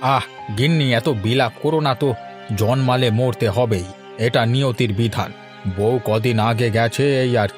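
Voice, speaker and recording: Measured, miserly older village husband, played by a man. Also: mid-range voice, faint music behind, close to an everyday voice. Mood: consoling, resigned. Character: older village husband, calm, practical, miserly father-in-law. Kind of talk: Bengali animated fairy-tale dubbing.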